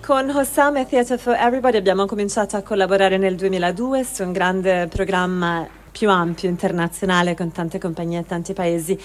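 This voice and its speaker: A woman presenting a theatre project in an earnest, deliberate way, as if introducing a reading. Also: medium pitch, steady tone. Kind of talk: spoken introduction to a live reading.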